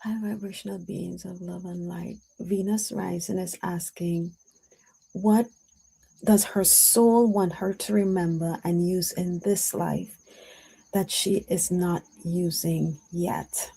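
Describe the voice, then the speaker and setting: Slow, halting woman medium. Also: fairly low pitch, fairly even intonation, creaky, warm tone, husky, rough recording. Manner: slow, reflective, halting with frequent "um"s. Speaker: intuitive medium on a live stream. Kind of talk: live-stream spiritual reading, answering chat.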